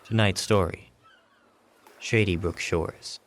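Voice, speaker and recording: A man's voice: sits low, fairly even intonation, slow pace. A man giving a scripted, storytelling introduction as host of a radio story series.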